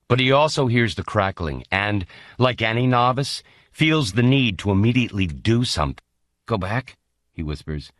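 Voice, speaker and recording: A man with a fairly deep voice narrates a novel in the first person. His delivery is gritty and colloquial, with a lively rise and fall as he tells the story dramatically. The recording is close and dry, with hardly any room sound. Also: dry recording. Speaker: audiobook narrator.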